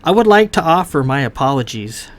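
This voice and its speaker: A man's voice: medium pitch, very animated, a little fast, close to an everyday voice. A man voicing a flustered, apologetic young nobleman who stammers politely and is a little out of breath.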